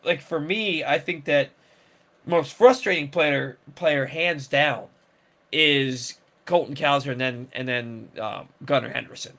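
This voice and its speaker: A man talks sports in a loose, rambling way, restarting his sentences and padding them with fillers. His voice sits a little higher than most men's. He hosts the show in a casual chat style. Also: unhurried, gravelly, sharp tone. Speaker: sports podcast host.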